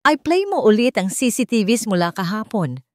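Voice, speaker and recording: A woman's voice: mid-range voice, animated, normal speed, no echo, clean studio sound, voice pushed up, close to an everyday voice. A woman voicing a cold, resolute billionaire man in a dramatic story, firm, commanding and protective.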